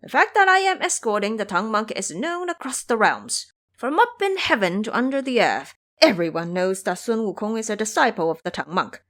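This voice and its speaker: A woman's voice: mid-range voice, very animated, moderate pace, hardly any pauses, a bit bright. A woman voicing a proud, hot-tempered male monkey warrior in an audio drama, with a boastful, declamatory delivery. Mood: commanding, reassuring. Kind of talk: audio drama character acting.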